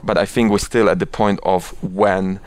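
A man's voice: sits low, fairly even intonation, normal speed, slight background noise. A man from Poland talking passionately in an interview, gesticulating as he speaks and restarting his sentences often. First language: Polish. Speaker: guest. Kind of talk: conversational interview.

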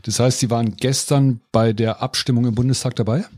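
A man's voice: mid-range voice, fairly even intonation, a little fast, a little mellow, dry recording. A man hosting an interview podcast in a conversational, wry, colloquial way. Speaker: podcast host.

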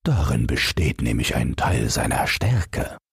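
A man voicing a commanding, approving king in a storybook, with a measured, stately delivery. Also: very deep voice, sing-song, creaky, husky, dry recording, clean studio sound, voice pushed down, full character voice. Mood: measured approval. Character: old-world king, approving and commanding, offering a bargain.

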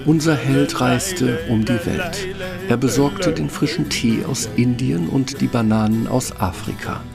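A man narrating a fairy tale from a script in a wry, measured storytelling manner. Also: medium pitch, vocal fry, music in the background.

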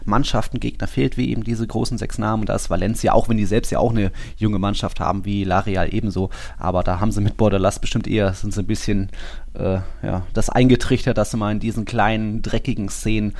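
Low, creaky-voiced man. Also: steady tone, fast, darkish tone. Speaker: football podcast host. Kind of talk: conversational sports discussion.

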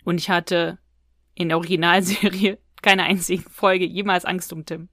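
A woman's voice: fairly low pitch, flat delivery, normal speed, fairly bright tone, no room sound, clean studio sound. A woman co-hosting a podcast, giving her opinions in a casual, conversational review chat. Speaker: podcast co-host. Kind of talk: casual review chat about audio dramas.

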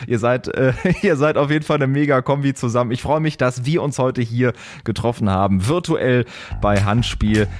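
A man's voice: mid-range voice, normal speed, darkish tone, faint music behind. A man hosting a podcast, chatting casually and full of enthusiasm. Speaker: podcast host.

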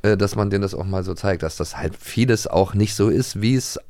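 A man's voice: on the low side, a little fast, a little mellow, no room sound. A German man co-hosting a podcast, giving his opinions in an earnest, hesitant way. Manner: earnest, hesitant, many fillers. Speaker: podcast co-host. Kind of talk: casual chat.

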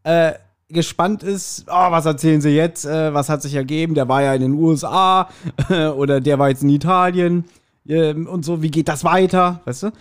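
A man with a fairly high voice chats casually as a podcast co-host. He rambles along playfully, often poking fun at himself, in an easy conversational style. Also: steady tone, moderate pace, smooth.

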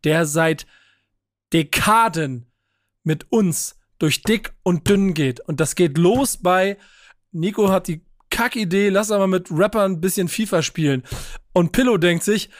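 A young man hosting a football podcast, energetic and joking, with casual swearing. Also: sits high, unhurried, no room sound, clean studio sound. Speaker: podcast host. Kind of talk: casual chat.

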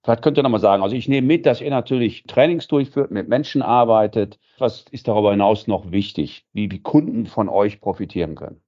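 A man's voice: fairly low pitch, a little fast, hardly any pauses, mellow. A man hosting an interview, moderating in a conversational way as he addresses his guests. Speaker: interview host. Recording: dull lo-fi sound.

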